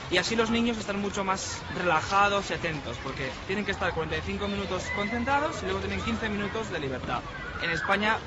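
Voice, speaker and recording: Man in a street interview, restarts as he explains, muffled sound with noisy crowd and traffic around. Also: high voice. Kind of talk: interview answer.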